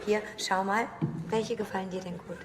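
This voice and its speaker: Reproachful, aloof wife. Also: female voice, medium pitch, fairly even intonation, moderate pace, in a large hall, a crowd in the background, background noise. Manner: aloof and reproachful, then indignant. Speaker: wife visiting her husband in prison.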